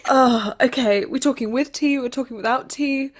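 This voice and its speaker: A woman interviewed as an actor, chatting casually in an animated, jokey way and telling stories with mock drama. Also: sits high, moderate pace. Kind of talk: casual chat.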